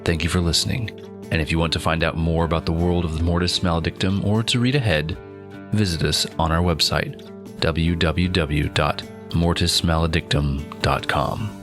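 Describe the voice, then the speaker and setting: A man's voice: low voice, steady tone, moderate pace. A man hosting an audio drama, reading a scripted outro and credits. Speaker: podcast host. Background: plucked guitar music.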